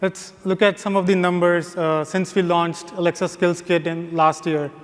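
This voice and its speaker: A man giving a conference talk as presenter, steady and measured. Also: high-pitched. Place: large conference hall. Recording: long reverb of a big hall.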